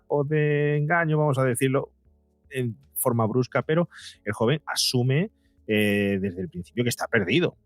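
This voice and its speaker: A man's voice: mid-range voice, dry recording. Spanish man explaining emphatically, dry close sound. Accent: Spain. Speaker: psychologist and podcaster, interview guest. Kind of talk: conversational explaining in a podcast interview.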